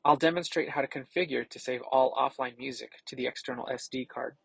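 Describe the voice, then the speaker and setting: A man narrating a scripted product demo as a tech reviewer, casual and explanatory, with a gravelly, slightly husky voice. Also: mid-range voice, fairly quick, no echo.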